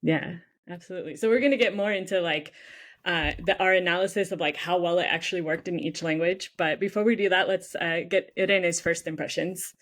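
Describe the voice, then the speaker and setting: A woman's voice: fairly low pitch, fairly even intonation, fairly quick, fairly bright tone. A woman hosting a podcast in a casual, upbeat way, often saying "like" and nodding along with "mm-hmm.". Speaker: podcast host.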